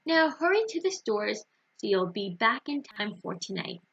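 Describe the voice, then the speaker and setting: A woman's voice: mid-range voice, big pitch swings, moderate pace, vocal fry, fairly bright tone, husky, dull audio, full character voice. A woman voicing a helpful, kindly old man who sells pots, in a children's storybook.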